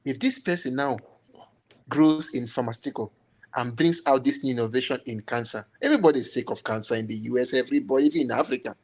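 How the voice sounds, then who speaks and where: A man's voice: mid-range voice, fast talker, darkish tone, low-quality recording. A man born in Cameroon to Nigerian parents, cutting in insistently during a heated panel discussion. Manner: insistent, keeps breaking in to take the floor. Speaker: panel guest.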